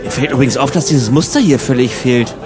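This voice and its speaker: A man's voice: mid-range voice, quick pace, over music. A man in casual banter, talking in a dry, grumbling, argumentative manner. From Germany. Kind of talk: casual banter in an audio collage.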